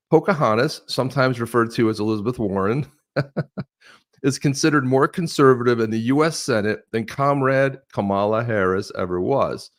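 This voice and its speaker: Animated joking man in his sixties. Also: mid-range voice. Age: 60s. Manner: animated, joking, laughs often. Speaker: co-host. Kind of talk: casual political chat.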